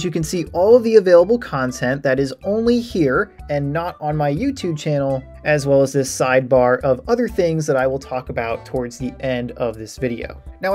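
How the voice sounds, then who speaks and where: A man talks through an on-screen tour in a casual, explanatory way. His voice sits at a medium pitch, with music playing behind it. He sounds like an online tutor showing viewers around step by step. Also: a little mellow. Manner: informal, explanatory. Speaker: math tutorial creator giving a site tour. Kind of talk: casual screen-share walkthrough. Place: voice-over for a screen recording.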